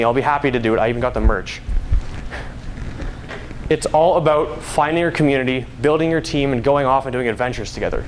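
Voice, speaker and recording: Young man with a highish voice, noisy recording. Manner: conversational, playful, storytelling. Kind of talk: informal public speech to an audience. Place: live talk before an audience at a school. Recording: noisy live recording, background ambience.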